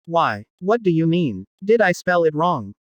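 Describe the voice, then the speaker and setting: A man's voice: fairly high pitch, very animated, a little slow, dry recording, lo-fi sound. A man playing a cautious, skeptical, worried teenage boy in a visual novel, with casual slangy speech. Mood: wary.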